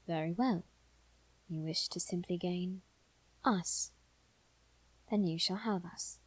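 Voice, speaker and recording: A woman playing a calm, probing male demon with quiet intensity, speaking softly and slowly in a scripted ASMR roleplay. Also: on the low side, slightly rough, no room sound, low-quality recording. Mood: calm, solemn. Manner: soft, slow, deliberate, dipping toward whisper.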